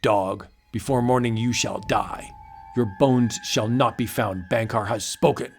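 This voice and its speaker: A man's voice: mid-range voice, steady tone, measured pace, gravelly, slight background noise. A man playing a proud, menacing sorcerer chieftain with an ominous, declamatory delivery in a comic book audio drama. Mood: threatening.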